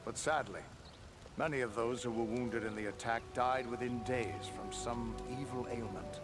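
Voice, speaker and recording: An actor playing a dutiful, sober ranger leader in a fantasy game, speaking formally and deliberately. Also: male voice, medium pitch, steady tone, over music, lightly acted. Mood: somber, resolute.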